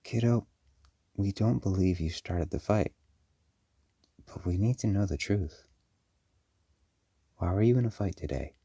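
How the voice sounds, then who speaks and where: A man playing a firm, protective werewolf father in an audio roleplay, speaking to a child in a measured, caring but stern way. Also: low voice, husky, no room sound, full character voice. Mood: concerned, firm. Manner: measured, parental, gently firm.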